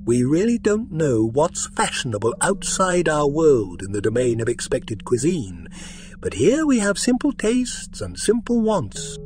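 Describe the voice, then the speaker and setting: A man voicing a gracious, welcoming alien woman leader with a gentle manner. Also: fairly high pitch, very animated, a little slow, faint music behind.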